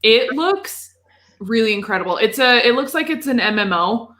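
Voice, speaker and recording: A woman's voice: on the high side, lively intonation, moderate pace, a bit bright. A woman talking casually about video games on a podcast, with informal, loose phrasing. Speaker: podcast panelist.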